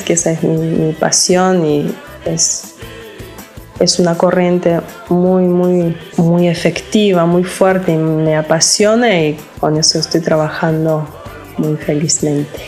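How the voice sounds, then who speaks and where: A woman from Lithuania with a Lithuanian accent, a psychologist speaking in an interview, halting and searching for words with frequent restarts. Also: fairly low pitch, a little mellow. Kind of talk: casual interview talk.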